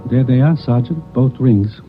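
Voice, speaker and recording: An actor playing a deferential, salesmanlike loan shop clerk with a gravelly, raspy voice in a 1940s radio drama. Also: male voice, medium pitch, moderate pace, music in the background, natural voice. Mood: apologetic. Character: apologetic, eager-to-sell male loan shop clerk. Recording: dull vintage 1940s radio recording.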